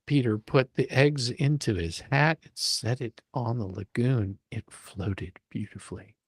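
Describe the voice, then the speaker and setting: A man narrating a story as a dramatic reading, with a playful, expressive, theatrical manner. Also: medium pitch, measured pace, slightly rough, no echo, clean studio sound. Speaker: reader and host of a live dramatic reading.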